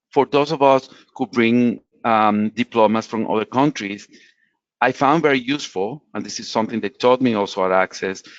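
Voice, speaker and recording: A man's voice: fairly low pitch, dry recording. Man from latin america, english speaker, earnest advice, dry muffled webinar sound. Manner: earnest, explanatory, frequent restarts. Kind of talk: career advice talk.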